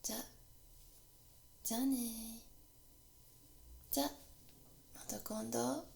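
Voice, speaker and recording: A woman with a Japanese accent playing a warm, gently teasing workshop teacher in an ASMR roleplay, soft-spoken and often slipping into breathy whispers, close to the microphone. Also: a little high, fairly even intonation, normal speed. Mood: playful, reassuring. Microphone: close binaural microphone.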